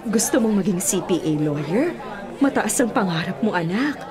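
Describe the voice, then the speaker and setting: A woman's voice: medium pitch, lively intonation, moderate pace, a crowd in the background, lightly acted. A woman acting as a hardworking, self-sacrificing middle-aged widowed mother in a Filipino radio drama, earnest and long-suffering. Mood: proud, tender.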